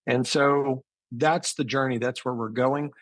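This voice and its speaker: A man's voice: mid-range voice, fairly even intonation, unhurried, no echo. Man explaining in an interview, close dry sound. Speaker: interview guest, physician and business founder. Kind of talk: conversational interview answers.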